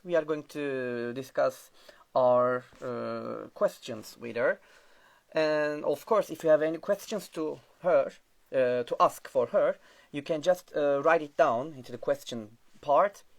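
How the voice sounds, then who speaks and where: A man hosting an online teacher talk show over a video call, friendly and hesitant with many fillers and nonnative phrasing. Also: mid-range voice. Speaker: host.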